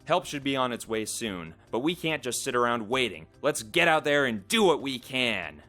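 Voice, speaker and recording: Man playing an earnest, lively young tiger. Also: mid-range voice, moderate pace, faint music behind, voice pushed up. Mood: rallying. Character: earnest, reasonable young male tiger leader.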